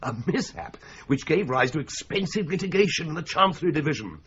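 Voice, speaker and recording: A man's voice: on the high side, very animated, brisk, a bit bright, husky, full character voice. An actor playing a wry, teasing young male solicitor's clerk in a radio drama. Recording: dull lo-fi radio play recording.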